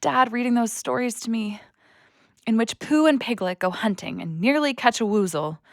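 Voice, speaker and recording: A woman's voice: on the high side, moderate pace, dry recording, clean studio sound, natural voice. A young woman playing a restless, anxious daughter who longs for adventure, acting earnestly in an audio play. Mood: anxious, yearning. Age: young adult.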